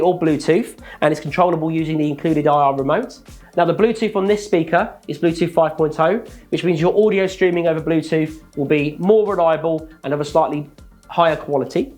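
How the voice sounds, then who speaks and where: A man's voice: on the high side, darkish tone. Methodical man presenting gear over faint music. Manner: measured, methodical listing of features. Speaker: product presenter. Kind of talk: scripted product walkthrough.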